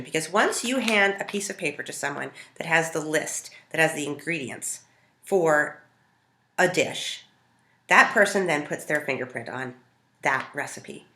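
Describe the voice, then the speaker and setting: An American woman hosts a home cooking video, talking casually and conversationally. Her voice sits low for a woman but has a bright, forward edge. The sound is roomy, with clear echo around her words. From Montana, United States. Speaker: home cook hosting a food video. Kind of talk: casual storytelling to camera.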